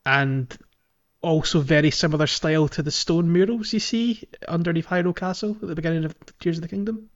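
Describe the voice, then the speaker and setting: A man's voice: on the high side, a little fast, highs cut off. A man in his thirties hosting a podcast, chatting casually about a game playthrough. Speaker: podcast host.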